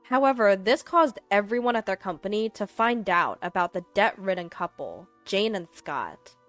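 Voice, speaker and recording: A woman reads a scripted first-person story as its narrator. Her mid-pitched voice moves up and down in a lively way, with faint music behind it. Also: normal speed.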